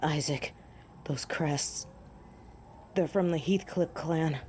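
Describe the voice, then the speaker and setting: Slow, haughty werewolf alpha played by a woman. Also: deep voice, warm tone. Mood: wary, challenging. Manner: haughty, theatrical, deliberate. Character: proud female werewolf alpha, cutting and sardonic. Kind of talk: dramatic fantasy audio drama acting. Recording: clear background noise and ambience.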